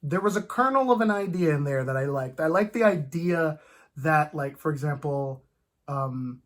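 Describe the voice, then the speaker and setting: A man chatting casually about films as a host, in an animated, rambling fan's way. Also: on the high side, normal speed.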